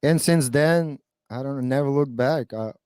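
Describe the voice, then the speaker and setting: A man's voice: medium pitch, moderate pace, a little mellow, smooth, no echo, clean studio sound. A man in his thirties from Kazakhstan whose first language is Russian, chatting casually and hesitantly on a stream, with many fillers and restarts. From Kazakhstan (then USSR). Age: 30s.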